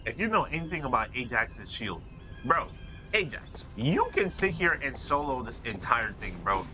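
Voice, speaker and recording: A man's voice: mid-range voice, very animated, moderate pace, bright, forceful tone, faint music behind, poor audio. A young man casually commenting over his gameplay, slangy and loose, talking half to himself. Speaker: gaming streamer. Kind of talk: casual let's-play commentary.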